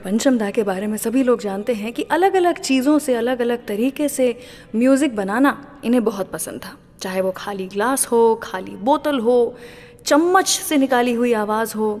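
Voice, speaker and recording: A woman's voice: on the high side, darkish tone, long reverb tail. A woman hosting a classic-music radio show, telling a story and building suspense with questions. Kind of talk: scripted radio presenting.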